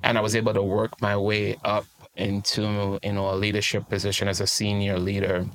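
A man's voice: lowish voice, monotone, a little fast, fairly bright tone, low-quality recording. A man, speaking as a guest life coach in a casual interview and telling his story in a reflective, open way. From Trinidad and Tobago.

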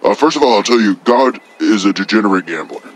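Man voicing a deep, crude devil. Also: animated, quick pace, lightly acted. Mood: indignant. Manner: profane, blunt, rambling. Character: crude, boastful male devil, menacing and vulgar.

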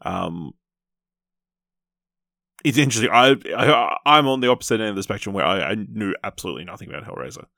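Low-pitched, creaky-voiced man. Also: animated, a little fast, pauses often, pushed and bright, no room sound, studio quality. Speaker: podcast host. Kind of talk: casual film review chat.

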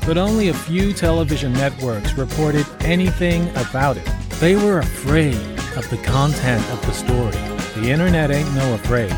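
Man narrating horror over loud music. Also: medium pitch. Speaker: podcast narrator. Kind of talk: scripted horror story narration.

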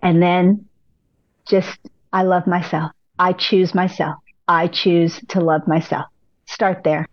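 A woman, a pilates instructor, giving upbeat, encouraging advice as a podcast guest, a little hoarse from losing her voice. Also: fairly low pitch, flat delivery, slow, dry recording, lo-fi sound. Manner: upbeat, encouraging, speaks in repeated affirmations. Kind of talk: casual interview advice.

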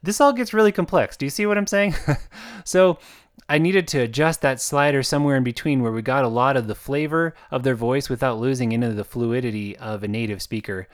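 A man from the United States, an author hosting his own podcast, talking in a chatty, reflective way with fillers and soft laughs. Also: medium pitch, brisk. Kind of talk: casual podcast monologue.

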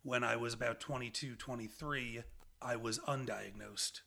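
A young man with a gravelly voice, chatting as a guest on a podcast with hesitant starts. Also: mid-range voice, fairly even intonation, moderate pace, bright, forceful tone. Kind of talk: casual interview chat.

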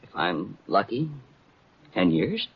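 Hapless husky-voiced naive private eye. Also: male voice, low voice, wildly swooping pitch, takes it slowly, heavily acted. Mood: mournful, noble. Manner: earnest, mournful, gee-whiz. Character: hapless, naive, self-pitying young male private eye. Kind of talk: 1940s radio comedy acting. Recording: muffled vintage radio recording.

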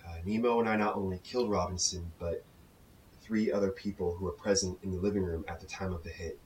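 An actor playing a confessed hitman in a documentary drama, delivering a formal, measured sworn statement with grave emphasis. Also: low voice, fairly even intonation, darkish tone, recorded from a distance, a little hiss or hum, natural voice. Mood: flat, confessional. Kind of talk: scripted testimony monologue.

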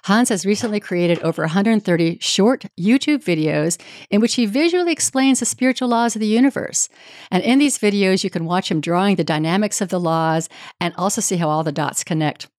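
A woman's voice: mid-range voice, a little fast, dry recording, clean studio sound. A woman hosting a spiritual podcast, introducing and questioning her guest enthusiastically. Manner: enthusiastic, warm, effusive. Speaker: podcast host, reverend. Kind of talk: podcast interview.

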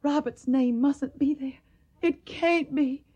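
A woman's voice: high-pitched, takes it slowly, mellow. A woman playing an earnest, emotional young woman in a radio drama. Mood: distressed. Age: young adult. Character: earnest, tender young Southern woman in wartime.

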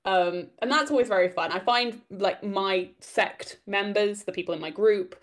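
A woman's voice: medium pitch, normal speed. Giggly woman with a light Welsh accent. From Wales. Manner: hesitant, giggly, many fillers. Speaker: guest. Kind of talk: casual interview chat.

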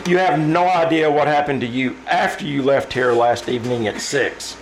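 A man's voice: medium pitch, moderate pace, a little hiss or hum, lightly acted, rough recording. A man playing a concerned, insistent office colleague in an old-time radio drama, emphatic and urgent.

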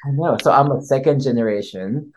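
A soft-spoken young man from Thailand talks as a podcast guest. He often trips over and repeats his words as he explains things. His voice sits fairly high for a man. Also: a little fast. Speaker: winemaker and winery owner.

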